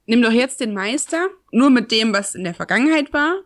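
A woman's voice: fairly high pitch, expressive, a little fast, dry recording, clean studio sound. A woman co-hosting a podcast, bantering casually and playfully about a TV series. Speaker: podcast co-host.

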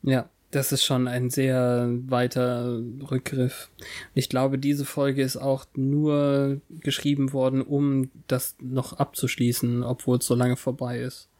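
Male co-host, slow and wry, chatty episode-recap podcast. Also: medium pitch, completely flat delivery, darkish tone. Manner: wry, drawn-out, laughs often. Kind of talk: casual TV-episode recap chat.

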